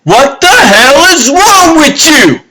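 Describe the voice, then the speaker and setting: A wisecracking young agent sidekick, voiced by a man, pitched up and swinging around with indignation. He is sarcastic and exasperated, in comic-book dub style. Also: big pitch swings, slow, pushed and bright, exaggerated voice. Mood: outraged.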